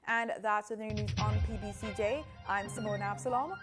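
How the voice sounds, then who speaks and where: A woman's voice: mid-range voice, fairly even intonation, moderate pace, loud music behind the voice. A woman with a Jamaican accent reading formal scripted news as an anchor.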